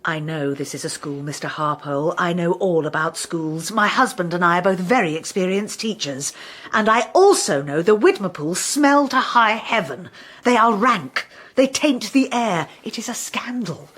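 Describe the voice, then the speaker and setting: An actress playing a prim, snobbish, disapproving veteran schoolteacher in a radio comedy, speaking in a clipped, deliberate, haughty way. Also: female voice, lowish voice, expressive, fairly bright tone. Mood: scandalised disdain. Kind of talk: radio comedy drama acting.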